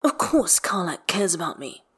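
A woman's voice: fairly high pitch, expressive, moderate pace, pitched above the performer's own voice. A woman voicing a guarded, sardonic man in a story, with biting, mistrustful delivery. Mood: snappish, sardonic.

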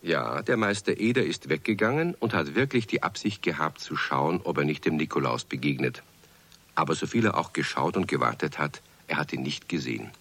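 Calm guy, deep voice, telling a kids story on the radio. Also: fairly quick, heavily acted. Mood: calm narrating. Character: calm storyteller of a children's tale. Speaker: narrator. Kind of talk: scripted storytelling narration for children's radio play.

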